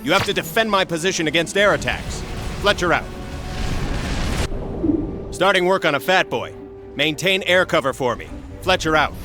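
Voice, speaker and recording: Man as an impatient, higher-pitched field commander. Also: moderate pace, pauses often, music in the background, natural voice. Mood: curt, demanding. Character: gruff, impatient male military commander in the field. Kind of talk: video game character acting.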